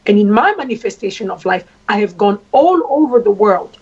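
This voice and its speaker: A woman from Congo, a traditional queen, speaking at length in a deliberate way and repeating phrases for emphasis. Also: medium pitch, lively intonation, a little mellow, husky. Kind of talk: spoken address. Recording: dull lo-fi sound.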